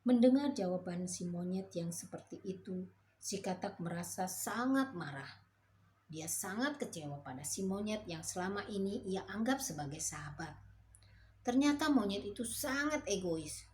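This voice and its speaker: A woman narrating a children's fairy tale in a slow, clear storybook manner. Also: medium pitch, expressive, darkish tone, slight background noise.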